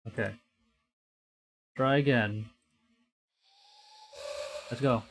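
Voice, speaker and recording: Slow-paced man streaming a game. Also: medium pitch, slight background noise. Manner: excitable, muttering and repeating phrases to himself. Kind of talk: live game commentary mixed with reading visual novel text aloud.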